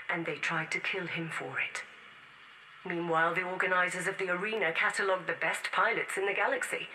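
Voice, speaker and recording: A defiant rebel woman in a sci-fi game log, grave n intense, lowish forceful voice, noisy background. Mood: grave, accusing. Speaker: voice actor in a game audio log. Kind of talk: dramatic scripted monologue in a science-fiction game audio log.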